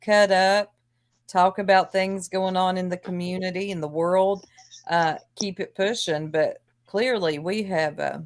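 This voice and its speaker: A woman's voice: fairly low pitch, fairly even intonation, a little slow. Easygoing talkative woman co-host. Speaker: podcast co-host. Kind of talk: casual group chat.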